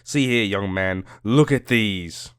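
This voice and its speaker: Man playing deep-voiced indignant, scornful Egyptologist. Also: slow pace, smooth, dry recording, studio quality. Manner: emphatic, scornful rhetorical questioning. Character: indignant Egyptologist traveller, quick-tempered, scornful and proud. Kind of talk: dramatic audiobook reading.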